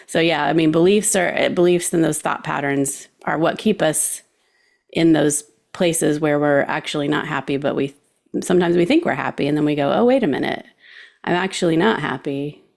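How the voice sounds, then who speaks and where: A woman with a fairly low voice and plenty of creak gives casual advice on a podcast. She talks informally and ramblingly, slipping into imagined quotes as she goes. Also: fairly even intonation, moderate pace, darkish tone.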